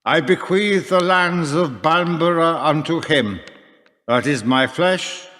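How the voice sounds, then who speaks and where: A man's voice: on the high side, slow pace, fairly bright tone. An actor playing a proud, solemn medieval lord and father in a radio play, speaking in a grand, declamatory manner. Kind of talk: theatrical period radio drama in archaic English.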